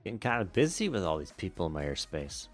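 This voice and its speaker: A man acting as a virtual air traffic controller, switching between radio phraseology and casual, relaxed chat to a stream. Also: low voice, fast, soft background music.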